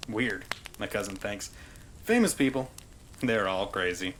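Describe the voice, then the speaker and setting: An actor playing an animated storyteller in an audio drama, relaying a cousin's tall tale and acting out the shouts. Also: deep voice, unhurried, low-quality recording. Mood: animated, conspiratorial. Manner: animated, acts out quoted shouts. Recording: noticeable background noise and ambience.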